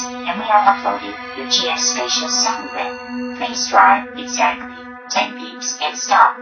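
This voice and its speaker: Formal machine guide played by an actor, theatrical and cryptic, creaky edge, swooping pitch, distant over music. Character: augmented reality app voice giving whimsical cryptic navigation instructions. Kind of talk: scripted app prompts, announcer-like. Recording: echoey, distant, dull, music behind.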